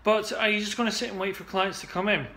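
A man in his forties coaches salon owners straight into a desk webcam. His voice sits high and bright, with a chatty, upbeat flow full of restarts and "uh"s. It sounds like an indoor office recording. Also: fairly even intonation, fast talker. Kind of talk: casual direct-to-camera pitch.

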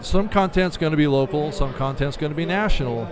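A man's voice: fairly high pitch, a little fast, crowd noise. A middle-aged man giving conversational interview answers in an explanatory way, often checking with "right?". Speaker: interview guest, podcast business manager. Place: convention expo booth, indoors. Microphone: studio microphone on a desk stand.

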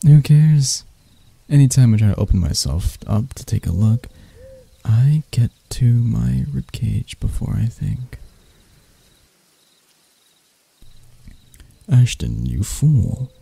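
A man voicing a deranged, seductive spider vampire in an intimate ASMR roleplay, soft and breathy, teasing and theatrical, doting yet menacing. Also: mid-range voice, sing-song, full character voice. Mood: amused, whimsical. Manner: slow, teasing, theatrical, with dark chuckles.

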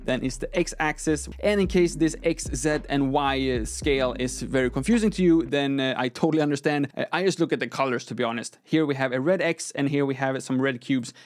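A young man, a non-native English speaker, hosting a conversational software tutorial with an informal, step-by-step explanatory manner into a close microphone. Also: medium pitch, fairly quick, few pauses. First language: not English. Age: young adult. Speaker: tutorial host. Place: small room at a desk, indoors. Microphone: close microphone on an arm.

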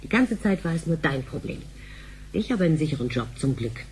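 A woman playing a sharp-tongued wife in a sci-fi radio drama, mocking and needling. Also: low-pitched, big pitch swings, a little fast, a little hiss or hum, rough recording. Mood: exasperated, sarcastic. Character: sharp-tongued, sarcastic wife nagging her husband.

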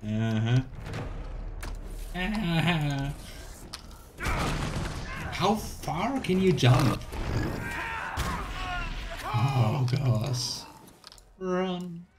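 A man's voice: on the high side, big pitch swings, extremely slow, long pauses, background noise. A young man giving casual, playful live commentary as he plays a video game, chatting to the game and viewers. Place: small indoor room at home. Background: game music.

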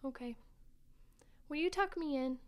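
A timid little girl. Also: high voice. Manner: soft, childlike, slow. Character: scared little girl, young sister, sweet and curious. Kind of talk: family audio drama acting.

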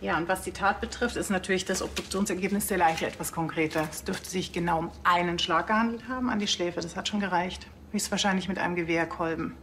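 An actress playing a brisk, matter-of-fact forensic pathologist listing findings in a crime comedy. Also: female voice, fairly low pitch, fairly even intonation, a bit bright. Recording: clear background noise and clicks.

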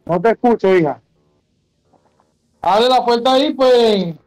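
A man's voice: extremely high pitch, measured pace, a little mellow, dry recording, poor audio. A man with a Venezuelan accent chatting casually as he games, full of slang and swearing. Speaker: gamer streaming an online role-playing game. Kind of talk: casual gaming chat.

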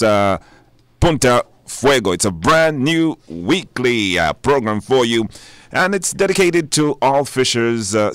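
Low-pitched man hosting radio. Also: fairly bright tone, no room sound. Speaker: radio host. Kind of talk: unscripted radio programme introduction.

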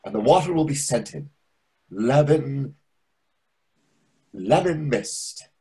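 A man's voice: medium pitch, animated, slow pace, mellow, low-quality recording. A middle-aged man playing a chatty, nosy, self-important waiter in a comedy play reading, pompous and insinuating. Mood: theatrical, self-satisfied. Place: indoors on a video call. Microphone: video call microphone.

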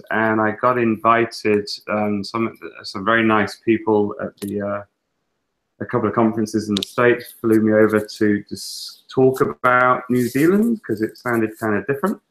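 A man's voice: medium pitch, fairly bright tone, poor audio. A man in his thirties from England with an English accent, a guest talking casually in a webinar interview, full of fillers and restarts, on an earphone mic in a small room at home. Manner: chatty, lots of fillers and restarts. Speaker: guest teacher and author. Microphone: earphone headset on a video call.

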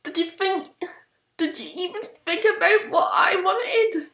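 A woman's voice: extremely high pitch, unhurried, a bit bright, full character voice. A woman playing a feisty, girlish young princess with an emphatic, petulant delivery in a comic fandub. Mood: hurt, furious. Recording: roomy echo, faint music behind.

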